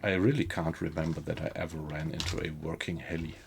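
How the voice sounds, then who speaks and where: A man's voice: a bass voice, flat delivery, a little fast, vocal fry, background noise. A man with a German accent streaming a survival game, chatting easily with viewers and thinking aloud as he plays. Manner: easygoing, thinks aloud, reacts to the game while talking to chat. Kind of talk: live gameplay commentary, chatting with viewers.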